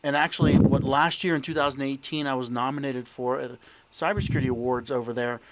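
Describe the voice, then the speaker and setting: A man's voice: medium pitch, steady tone, fast, very noisy. A man hosting an interview podcast, talking casually with many fillers and restarts. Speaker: podcast host. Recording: voice over background music.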